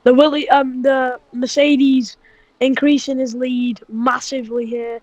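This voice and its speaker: A man co-commentating a sim race, eager and chatty, rushing and restarting his words. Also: extremely high pitch, flat delivery, no echo, dull audio.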